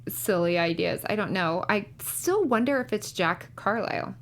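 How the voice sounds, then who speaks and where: A woman's voice: mid-range voice, lively intonation, normal speed. A woman speaking casually as a recap show panelist, tentative and hedging as she offers ideas.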